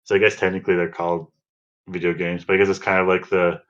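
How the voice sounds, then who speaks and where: A man's voice: sits low, flat delivery, fast, a bit bright. A man co-hosting a podcast in casual, hesitant, noncommittal chat on a slightly lo-fi microphone. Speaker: podcast co-host. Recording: slightly dull, lo-fi microphone sound.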